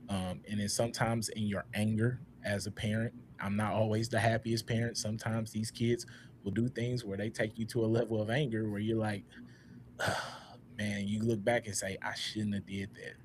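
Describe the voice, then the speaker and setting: A man in his thirties hosting a casual panel talk, speaking in a reflective, unhurried way with many "uh"s and a loud voice. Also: fairly low pitch, a little hiss or hum. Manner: relaxed, reflective, frequent "uh" and "all right?". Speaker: podcast host.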